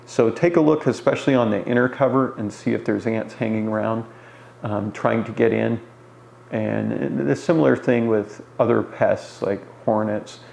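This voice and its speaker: A man around forty gives an informal explanation to camera as a hobby teacher, pausing as he phrases things, recorded in a small bare room. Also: lowish voice, gravelly, darkish tone. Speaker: beekeeper answering beginners' questions. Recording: roomy echo, faint background noise.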